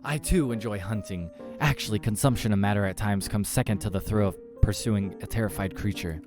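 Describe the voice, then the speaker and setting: A man's voice: lowish voice, a little fast, over music, lightly acted. A man voicing a menacing, predatory female eldritch creature from a visual novel, with commanding, formal, alien phrasing. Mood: predatory curiosity. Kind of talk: acted visual novel dialogue.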